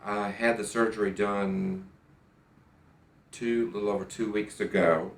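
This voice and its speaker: A man's voice: fairly low pitch, fairly even intonation, unhurried, reverberant. A man with an American accent telling his story as a patient in a doctor's office, speaking carefully and often restarting his sentences. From United States. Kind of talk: personal testimonial.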